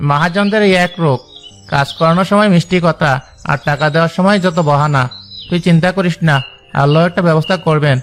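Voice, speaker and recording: A man's voice: fairly high pitch, fairly quick, natural voice. A man voicing a poor, pious labourer in a cartoon drama, an earnest and reassuring friend. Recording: faint music behind the voice.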